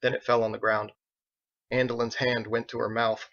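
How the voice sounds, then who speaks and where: A man reading his own fantasy novel aloud as an expressive, scripted audiobook narration. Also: mid-range voice, moderate pace, muffled recording.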